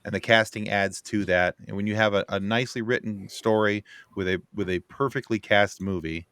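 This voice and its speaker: A man's voice: on the low side, steady tone, a little fast, a bit bright, clear, resonant tone, no room sound, clean studio sound. A man casually discussing a film as a podcast panelist.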